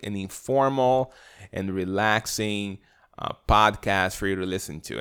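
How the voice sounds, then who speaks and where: Brazilian-accented man, smooth low voice. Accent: Brazilian Portuguese. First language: Portuguese. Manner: relaxed, chatty, many fillers and restarts. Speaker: podcast host. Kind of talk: solo podcast monologue.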